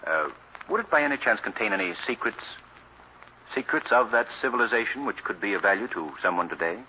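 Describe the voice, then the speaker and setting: An actor playing a courteous, composed gentleman sleuth in an old radio drama, speaking in a polite, measured way. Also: lowish voice, lively intonation, dull audio, lightly acted. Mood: courteous, inquiring. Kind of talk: 1940s radio acting. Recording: hissy, band-limited old radio recording.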